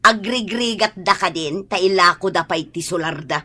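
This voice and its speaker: Sharp, bright-voiced wife. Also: female voice, fairly low pitch, normal speed. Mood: grudging. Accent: Filipino. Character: indulgent, grudging, sharp-tongued wife and mother. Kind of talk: Ilocano audio drama acting.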